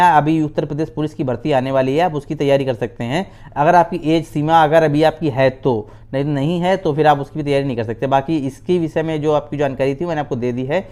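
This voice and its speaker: A man's voice: on the high side, darkish tone. A man. He explains things informally, like a video host updating candidates. He circles back over phrases and leans on fillers and little check-in tags. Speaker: YouTube host giving exam updates.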